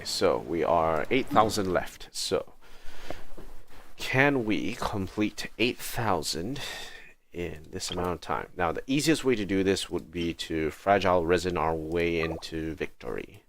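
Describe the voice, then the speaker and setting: A man giving casual live gameplay commentary as a streamer, thinking aloud in halting short phrases. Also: low voice. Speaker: game livestreamer.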